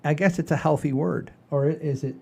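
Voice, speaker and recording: A man's voice: fairly high pitch, steady tone, fairly quick, creaky, darkish tone, slightly rough. A man hosting a comedy podcast, chatting casually and telling anecdotes in a rambling, repetitive, folksy way. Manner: rambling, repeats himself, folksy "I says".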